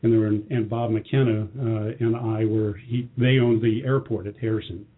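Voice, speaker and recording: A middle-aged or older man with a slightly husky voice, answering interview questions in a plain-spoken, affirming, conversational way. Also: fairly low pitch, level tone, moderate pace. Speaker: company president, interview guest. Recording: muffled, band-limited lo-fi audio.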